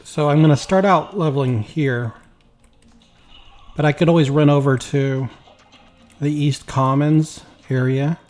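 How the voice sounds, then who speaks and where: A man with a slightly raspy, weak voice, tired after work, chatting casually while playing a game. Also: mid-range voice. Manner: rambling, relaxed, talks while playing. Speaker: gaming YouTuber. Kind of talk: casual gameplay commentary.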